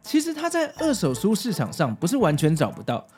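A male podcast host with a Taiwanese Mandarin accent, pitched a little high. His prepared narration moves through very wide intonation, with soft music behind. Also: huge pitch swings, a little fast.

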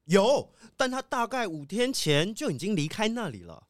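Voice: mid-range voice, big pitch swings, moderate pace, no room sound, clean studio sound, lightly acted. An actor playing an earnest, dutiful wolfdog police sheriff in a children's audio drama, speaking in a formal reporting manner. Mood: businesslike. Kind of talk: children's radio drama acting.

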